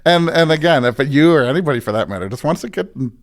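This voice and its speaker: A man's voice: a little high, animated, fast. An American man, a pastor, chatting casually and affably as a podcast guest. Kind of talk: casual conversational interview.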